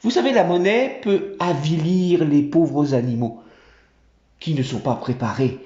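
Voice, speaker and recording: A man's voice: on the high side, unhurried, mellow, lightly acted. A man putting on a fawning monkey general. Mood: conspiratorial. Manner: obsequious, chattering. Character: fawning male monkey general, tax collector. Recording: roomy, dull home recording.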